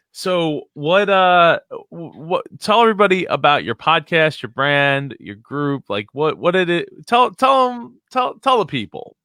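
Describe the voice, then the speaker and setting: A man's voice: mid-range voice, sharp tone, clean tone, no echo, studio quality. A man hosting a live podcast show, doing promos and introducing a guest in a rambling, playful way with many fillers. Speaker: podcast host. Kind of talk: live show host chat.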